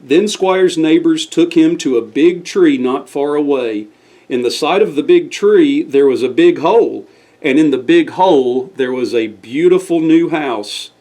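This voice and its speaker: A man's voice: fairly high pitch, expressive, unhurried, dark tone. A man reading a scripted bedtime story aloud as the storyteller.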